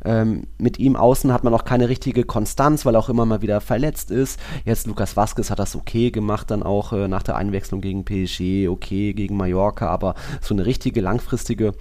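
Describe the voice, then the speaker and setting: A man's voice: fairly low pitch, steady tone, brisk, few pauses, darkish tone. A man co-hosting a football podcast, analysing informally and thinking aloud in casual talk.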